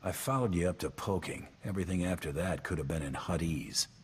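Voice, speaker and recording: An actor playing a big, flirtatious tough mercenary in a video game, teasing and laconic. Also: male voice, low voice, a little fast, a little hiss or hum.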